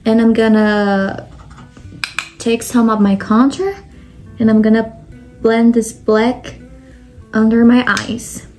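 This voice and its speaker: A woman's voice: fairly high pitch, level tone, takes it slowly, long pauses, a little mellow, soft background music, low-quality recording. A young woman from Brazil with a Brazilian Portuguese accent, talking through a makeup tutorial step by step and often saying "like". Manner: step-by-step explaining, frequent "like" fillers. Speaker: makeup vlogger.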